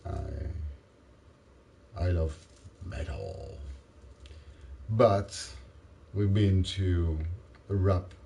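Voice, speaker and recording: A man, tired after a late night, rambling haltingly to the camera in a self-mocking way. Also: vocal fry, dark tone. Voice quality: deep voice. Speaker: vlogger. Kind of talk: casual rambling monologue.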